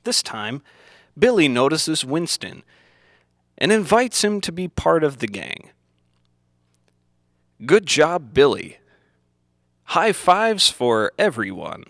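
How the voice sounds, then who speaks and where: Male narrator for an educational film: unhurried, didactic, very animated pitch, close dry sound. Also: vocal fry, no room sound. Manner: deliberate, didactic, simple enunciated phrasing. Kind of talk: scripted instructional narration, old educational film manner.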